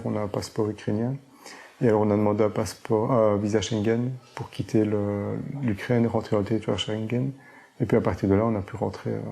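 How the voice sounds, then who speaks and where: A man's voice: fairly low pitch, gravelly, mellow. A man answering interview questions, hesitant, with many fillers and restarts. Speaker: interviewee, father.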